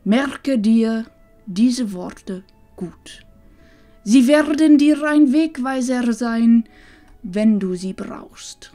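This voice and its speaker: A woman playing a loving, wise old grandmother in a fantasy audio drama, speaking solemnly and tenderly with breathy phrase endings. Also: on the high side, animated, drawn-out words, mellow, faint music behind. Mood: solemn, earnest.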